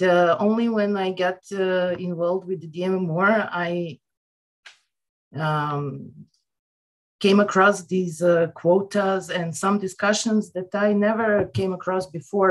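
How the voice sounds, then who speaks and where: Woman, 30s, Serbian accent. Lowish voice, very slow and halting, thinking aloud as a panelist. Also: rough recording. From Serbia, born in Yugoslavia. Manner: halting, reflective, with frequent hesitations. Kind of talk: informal panel remarks. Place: indoor room at home, video call. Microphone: wired headset on a video call.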